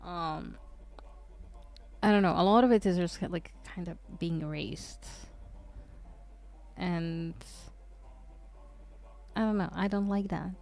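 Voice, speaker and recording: A woman's voice: on the low side, slow pace, creaky, long pauses, darkish tone. A woman from Croatia, chatting casually on a livestream, hesitant and rambling with lots of fillers and restarts. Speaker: streamer.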